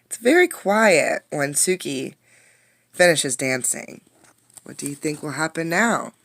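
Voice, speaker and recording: A woman reading a picture book aloud as a teacher, expressively, pausing to put questions to young listeners. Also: low-pitched, no echo. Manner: expressive storytelling, addressing young listeners directly. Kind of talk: interactive children's read-aloud with questions.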